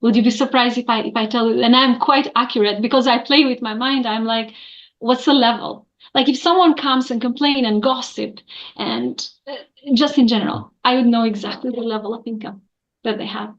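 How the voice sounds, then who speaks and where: A woman's voice: fairly high pitch, steady tone, fairly quick, lo-fi sound. Woman, an accountant guest. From Albania. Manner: earnest, with many fillers. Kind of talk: interview answers.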